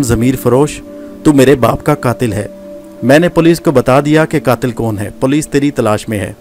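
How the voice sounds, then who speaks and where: A man's voice: mid-range voice, natural voice. A man voicing a furious young woman who hurls bitter, scornful insults at her father's killer, with music behind him. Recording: background music.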